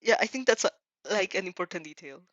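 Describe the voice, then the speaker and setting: A woman's voice: mid-range voice, lively intonation, fast talker, a bit bright, dry recording, lo-fi sound. A woman hosting a casual fanfiction read-aloud, hesitant and giggly, often correcting herself as she goes. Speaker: host of fanfiction reading show.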